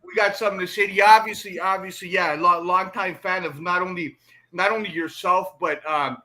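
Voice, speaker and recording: A middle-aged man hosts a casual sports interview in a high, very sharp, forceful voice. He talks like an eager fan, rambling and often checking that the listener agrees. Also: fairly even intonation, a little fast. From Toronto, Canada. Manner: rambling, enthusiastic fan talk, tags points with "right?". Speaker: sports show host.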